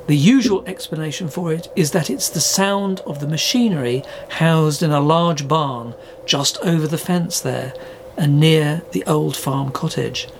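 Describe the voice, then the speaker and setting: Man as a high, creaky podcast reporter. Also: a little slow, a little hiss or hum. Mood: earnest. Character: earnest, polite male university podcast reporter. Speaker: podcast host. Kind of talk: scripted narration and audio drama.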